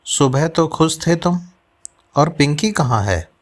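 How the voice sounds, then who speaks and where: A man playing a friendly, caring village police inspector who talks to children in a gentle, fatherly way in a story. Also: fairly high pitch, animated, takes it slowly. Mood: kindly. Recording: music behind the voice. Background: music.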